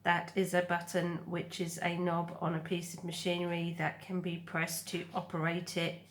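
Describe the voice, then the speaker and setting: A woman voicing a gentle robot mother in a storybook, speaking in a calm, measured and formal manner. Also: on the low side, lightly acted. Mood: calm, reassuring.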